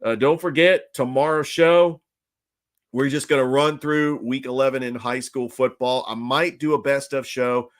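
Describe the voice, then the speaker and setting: A man's voice: medium pitch, fairly even intonation, normal speed. A man hosting a solo sports talk podcast, opinionated and ranting, his voice strained and nearly gone.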